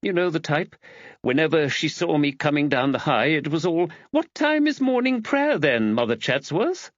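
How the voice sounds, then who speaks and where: Man voicing an arch, high-pitched gossipy woman. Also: sing-song, normal speed, dry recording, muffled recording. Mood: arch, indignant. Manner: wry, exaggerated mimicry. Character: arch, gossipy, mimicking village woman priest. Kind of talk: audiobook character dialogue.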